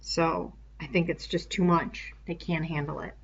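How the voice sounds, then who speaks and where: A woman's voice: low voice, measured pace, dull audio, slight background noise. A woman reading a story aloud expressively, with explanatory asides. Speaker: reader.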